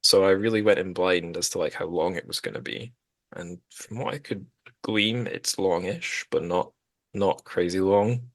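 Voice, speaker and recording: A man's voice: sits low, moderate pace, no room sound. A man hosting a podcast, talking casually about books in a rambling, self-correcting way. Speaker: podcast host. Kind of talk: casual literary discussion.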